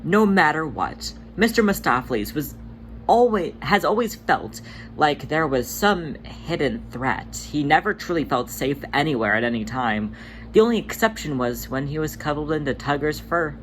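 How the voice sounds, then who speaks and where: A narrator reading fan fiction aloud in an expressive storytelling style, with a mid-range voice. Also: medium pitch, moderate pace. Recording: faint background noise.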